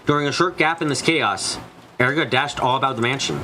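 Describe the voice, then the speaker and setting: A man's voice: medium pitch, a little fast, sharp tone, slight background noise. A man reading dramatic scripted narration of a story, as in a fan dub of a visual novel. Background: background music.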